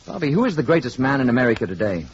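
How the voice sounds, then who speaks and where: Actor doing a calm polite spaceman passing as human, self-assured, old radio play, muffled and a bit hissy. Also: medium pitch, rapid-fire, natural voice. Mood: calm, curious. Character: calm, learned spaceman posing as an ordinary man. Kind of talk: 1950s radio drama acting. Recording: dull, noisy 1950s radio recording.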